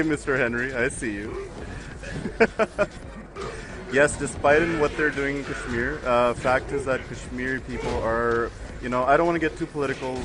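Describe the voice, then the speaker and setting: A man's voice: medium pitch, background noise. A young man from the United States chatting loosely as a guest commentator on a fighting game stream, with lots of fillers and frequent laughs. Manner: rambling, laughs often, many fillers. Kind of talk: casual chat with stream chat.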